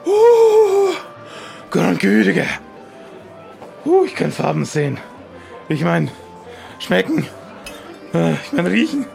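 Nervous pirate lad, adult male actor, high and crackly, stammering, easily rattled comic delivery. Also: wildly swooping pitch, slow, creaky, long pauses, darkish tone, soft background music, heavily acted. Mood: overwhelmed, suffering. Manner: stammering, hesitant, repeats words. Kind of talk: improvised comic audio play.

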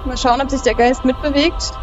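A woman's voice: high-pitched, fairly even intonation, brisk, muffled recording, music in the background. A woman playing a role-playing game, speaking in character and announcing her moves in the first person. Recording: clearly audible background noise.

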